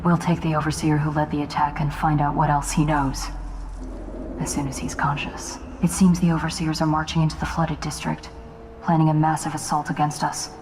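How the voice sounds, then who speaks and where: A woman's voice: sits low, flat delivery, fairly quick, background noise. A clipped female assassin lieutenant. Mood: urgent. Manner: clipped, matter-of-fact reports. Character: ambitious young female assassin lieutenant, guarded. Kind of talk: voiced game cutscene. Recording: game music behind.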